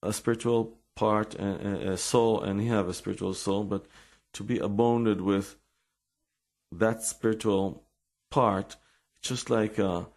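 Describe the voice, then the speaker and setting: Deep, gravelly Israeli-accented man, speaking slowly. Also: fairly even intonation, a little mellow. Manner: halting, repeats words while searching for English. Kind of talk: informal religious talk with personal stories.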